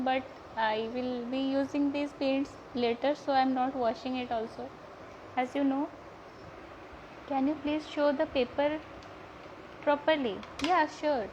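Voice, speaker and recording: A woman's voice: sits high, a little mellow. A young woman from Odisha with an Indian accent and Odia as her native language, hosting a casual live painting tutorial with a hesitant, stumbling delivery. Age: young adult. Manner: hesitant, stumbling, repeats words. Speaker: art live-stream host and painting teacher. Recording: dull lo-fi audio with heavy background noise.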